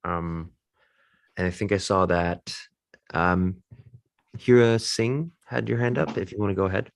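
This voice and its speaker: Low gravelly man, dry sound, relaxed moderator handing over the floor. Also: no room sound. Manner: relaxed, with filler pauses. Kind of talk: moderating a panel.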